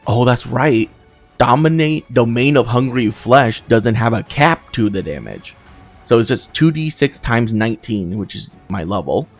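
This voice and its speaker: A man's voice: mid-range voice, animated, unhurried, soft background music. A man giving casual playthrough commentary as a gaming host, thinking aloud and swearing casually as he goes.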